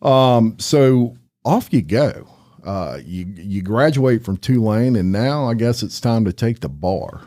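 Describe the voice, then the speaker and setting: An upbeat man hosts a casual podcast interview. He talks in a friendly, chatty way and often trips over his words and restarts sentences. His pitch sits in the middle of the usual range for a man. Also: animated, takes it slowly, warm tone. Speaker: podcast host.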